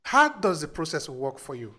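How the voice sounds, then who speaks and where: Inquisitive male interviewer, higher voice. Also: wildly swooping pitch, normal speed, bright, forceful tone, slightly rough. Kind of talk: interview questions.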